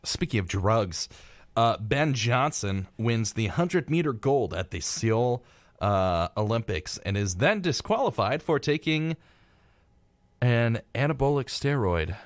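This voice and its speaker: A man's voice: medium pitch, lively intonation, measured pace, no room sound. A man co-hosting a casual live radio show, reading through a rundown and tossing in flippant, irreverent asides. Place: radio studio.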